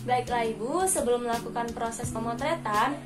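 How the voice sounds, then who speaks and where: High-pitched young woman, instructional. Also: normal speed. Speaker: student demonstrating dental radiography procedure. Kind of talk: instructional narration and scripted patient explanation. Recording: loud music behind the voice. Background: loud background music.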